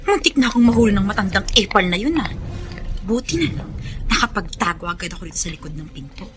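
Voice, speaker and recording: A woman's voice: medium pitch, expressive, fast, music in the background, close to an everyday voice. A woman acting a spiteful, jealous young rich schemer in a radio drama, with a sneering, emphatic delivery. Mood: scheming malice.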